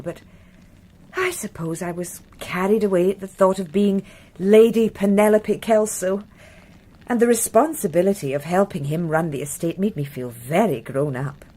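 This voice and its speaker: An actress with a British accent playing a wistful upper-class titled wife in a radio drama, breathy, soft and confiding. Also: female voice, fairly low pitch.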